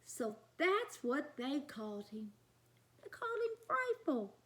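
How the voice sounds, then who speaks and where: A woman reading a picture book aloud to children in an expressive way, often chatting directly to her young listeners. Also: high-pitched, slow. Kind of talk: animated read-aloud with chatty asides.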